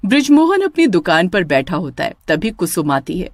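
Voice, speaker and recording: A woman's voice: on the low side, big pitch swings, normal speed, dry recording, studio quality. A woman narrator reading a scripted horror story.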